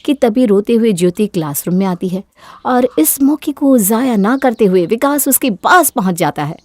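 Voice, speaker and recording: Fairly high-voiced woman narrating a story. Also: animated, normal speed, a little mellow, dry recording.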